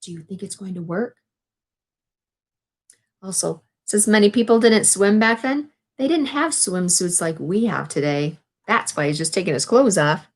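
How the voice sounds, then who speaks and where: A woman reading a children's picture book aloud in an expressive, instructive way, adding explanations for young listeners. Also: mid-range voice, moderate pace. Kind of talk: read-aloud storytelling with explanatory asides to children.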